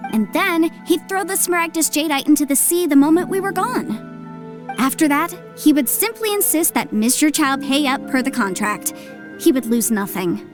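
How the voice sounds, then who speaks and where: A woman playing a shrewd, confident young legal adviser in an anime-style video game, articulate, explanatory and businesslike. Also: sits high. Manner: articulate, explanatory, self-assured. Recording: soft music behind the voice. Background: game music.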